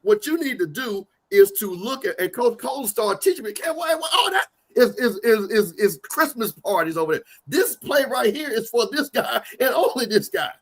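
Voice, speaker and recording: A man hosting a sports talk show, loud and emphatic, often restarting his sentences. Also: very high voice, lively intonation, normal speed, hardly any pauses. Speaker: show host.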